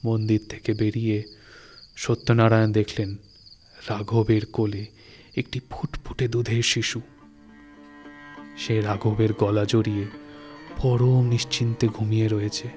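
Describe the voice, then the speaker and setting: A man narrating a literary story in a dramatic, suspenseful way, with expressive phrasing and deliberate pauses. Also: on the low side. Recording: studio recording with music. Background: music and night insect sounds.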